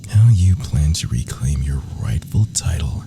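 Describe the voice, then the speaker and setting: A man's voice: very deep voice, animated, measured pace, creaky, music in the background. A man performing whispered ASMR roleplay as an adoring, menacing villain lover, theatrical and florid, intimate in delivery. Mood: wounded, seductive menace.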